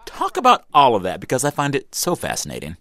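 A male host leading a casual studio interview, enthusiastic and quick to laugh. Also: medium pitch, wildly swooping pitch, sharp tone.

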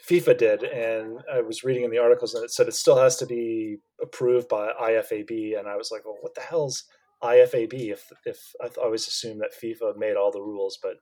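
A man's voice: lowish voice, fairly even intonation, a little fast, warm tone. A man co-hosting a casual podcast, explaining news at length with restarts and fillers. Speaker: podcast co-host. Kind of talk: casual chat.

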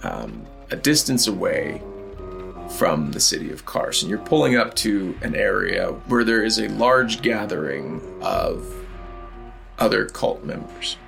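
A man narrating an improvised tabletop game as game master, pausing often mid-sentence. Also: lowish voice, wildly swooping pitch, vocal fry, over music.

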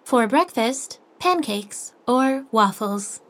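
A high-voiced, chirpy female AI host from an audio drama. She is relentlessly upbeat and scripted, like a game host working through set phrases. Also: animated, slow, fairly bright tone, heavily acted. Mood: cheerful, polite. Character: chirpy artificial intelligence matchmaking host, female.

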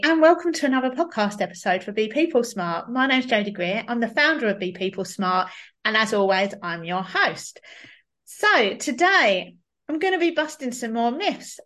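A woman hosting a podcast, asking questions in a measured, encouraging way. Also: on the high side, fairly bright tone. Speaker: podcast host. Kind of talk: podcast interview.

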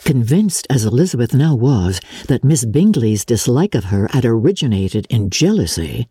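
A woman narrator giving a dramatic, measured reading of classic literature in a formal, expressive storytelling manner, with a husky voice. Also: very deep voice, dry recording, studio quality. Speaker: audiobook narrator.

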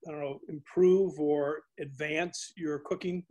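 A man hosting an interview who asks questions in a halting way, searching for his words. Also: fairly high pitch, steady tone, mellow, low-quality recording. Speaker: interviewer host.

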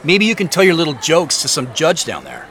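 An actor playing an earnest, suspicious man in an audio drama, pressing for answers and quick to defend himself. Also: fairly high pitch, wildly swooping pitch, fairly bright tone, faint music behind, full character voice. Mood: accusing, pressing. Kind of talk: naturalistic audio drama dialogue.

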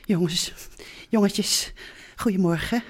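A woman's voice: medium pitch, slow. A woman playing a fond but exasperated middle-aged mother in a Dutch radio drama. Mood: coaxing, cheery.

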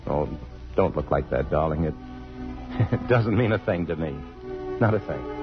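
An actor playing a restless, evasive husband and engineer in love, in a halting, earnest 1950s radio drama style. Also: male voice, very deep voice, animated. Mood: troubled, dismissive. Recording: muffled vintage radio broadcast, faint music.

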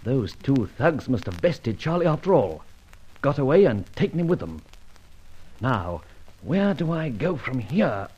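An actor playing a cool, wry gentleman adventurer in an old radio serial, speaking in a clipped, brisk and self-assured way. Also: mid-range voice, very animated, slightly rough, dull audio. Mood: wry storytelling. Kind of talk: 1940s-50s radio adventure serial acting, first-person narration and dialogue.

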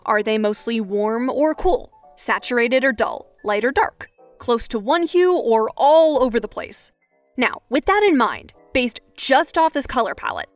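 A woman hosting an advice podcast, moving between scripted narration and interview talk. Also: on the high side. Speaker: podcast host.

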